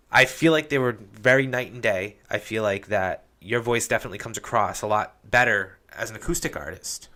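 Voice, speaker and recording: A man's voice: on the low side, bright, forceful tone. A man hosting a casual interview, loose and enthusiastic with many fillers. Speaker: podcast host interviewer.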